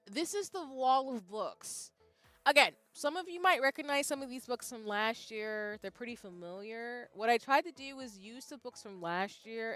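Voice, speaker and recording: Animated woman chatting on a live stream. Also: a little high. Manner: animated, chatty, swings from loud bursts to soft asides; self-deprecating, reads out chat. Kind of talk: casual live-stream chat. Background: faint background music.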